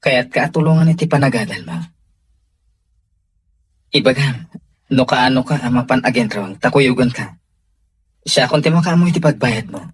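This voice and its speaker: A man's voice: medium pitch, expressive, moderate pace, long pauses, husky, dry recording. An actor with a Filipino accent playing a devoted, tender young man in a radio drama. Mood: tender, earnest.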